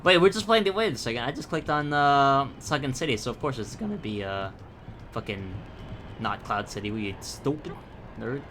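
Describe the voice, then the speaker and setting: A man's voice: medium pitch, lively intonation, moderate pace, background noise. A young man from the Philippines giving casual, rambling, profane gameplay commentary full of tangents. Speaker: gaming YouTuber.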